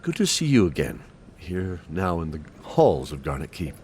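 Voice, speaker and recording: A man's voice: low-pitched, very animated, moderate pace, darkish tone, slightly rough, heavily acted. A man playing a genteel, anxious castle keeper who hosts adventurers, confiding and hesitant in a scripted fantasy announcement. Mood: welcoming. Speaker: host.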